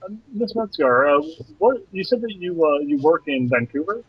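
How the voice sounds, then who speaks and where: A man with a husky, breathy voice hosts a podcast interview, asking long-winded, slightly formal questions full of uhs. The sound is dry and dulled, like an online call. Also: a little high, animated, normal speed, no room sound. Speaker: podcast host. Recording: dry muffled call audio.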